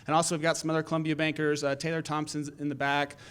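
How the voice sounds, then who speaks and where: A man giving informal, somewhat halting announcements to a gathering as a speaker at an event. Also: fairly high pitch, level tone, a bit bright, clear, resonant tone. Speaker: event sponsor speaker, banker.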